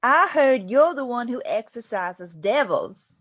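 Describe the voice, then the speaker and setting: A woman's voice: fairly high pitch, expressive, a little slow, a bit bright. A woman playing a plucky grandmother ghost in an amateur radio play, recorded with dull sound. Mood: eager, persuasive.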